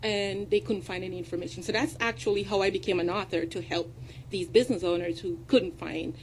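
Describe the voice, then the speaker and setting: A woman's voice: medium pitch, steady tone, fairly quick, slight background noise, low-quality recording. A woman from Jamaica with a Jamaican accent touched by British tones, a composed, articulate radio guest. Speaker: guest, consultant and adjunct professor. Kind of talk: radio interview talk. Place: radio studio.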